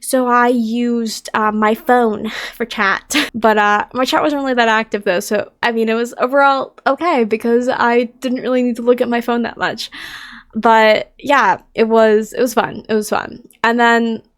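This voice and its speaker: A woman's voice: on the high side, fairly even intonation, unhurried. A woman in her twenties, chatting casually to camera in a rambling, self-deprecating way with lots of fillers. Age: 20s. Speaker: streamer and content creator.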